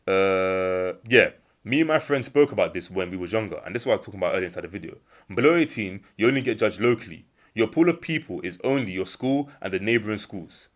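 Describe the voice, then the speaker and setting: A young man with a British accent giving an earnest motivational monologue, repeating key points for emphasis and acting out little imagined exchanges. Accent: British English. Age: young adult. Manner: acts out imagined dialogue in a lower voice. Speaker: self-improvement vlogger.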